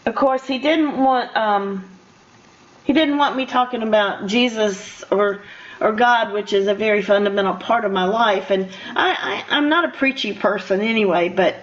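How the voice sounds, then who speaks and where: An older woman hosting a casual radio interview in a chatty, conversational way, quick to laugh. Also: medium pitch. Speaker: radio show host. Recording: dull, lo-fi radio interview audio.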